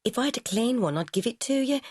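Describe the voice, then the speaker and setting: A friendly young factory girl, acted by a woman reading a novel speaks plainly and high. The sound is dry. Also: lively intonation, quick pace, a little mellow, slightly rough, no room sound. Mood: helpful, curious.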